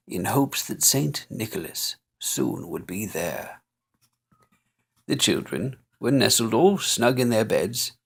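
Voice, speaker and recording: Gravelly, slow, playful male storyteller. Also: mid-range voice. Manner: expressive, playful reading with chuckles. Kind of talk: reading a holiday poem aloud.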